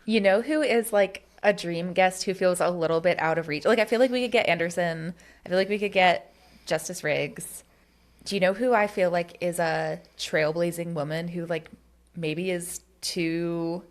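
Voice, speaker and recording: A woman's voice: lowish voice, normal speed. A woman co-hosting a podcast, talking candidly and earnestly about getting involved in politics, with casual swearing. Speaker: podcast co-host.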